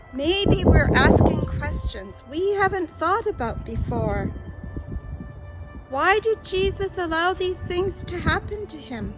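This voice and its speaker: A woman reading scripted dramatic narration in a solemn, deliberate manner. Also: high-pitched, rough recording. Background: loud music.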